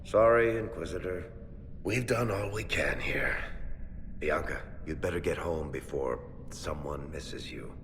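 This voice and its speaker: A man playing a sardonic, world-weary male dwarf rogue in a video game, with dry, expressive delivery. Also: rumbling low, measured pace, heavily acted. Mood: dry, resigned. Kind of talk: video game cutscene acting. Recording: echoey cavern reverb, background noise.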